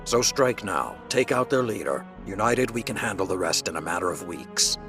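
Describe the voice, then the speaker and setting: Very deep-voiced hawkish warrior. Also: male voice, lively intonation, normal speed, fairly bright tone, faint music behind. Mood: angry, urgent. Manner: grave, emphatic, oratorical. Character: stern, hawkish veteran warrior commander, proud and contemptuous of enemies. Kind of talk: dramatic video game cutscene acting.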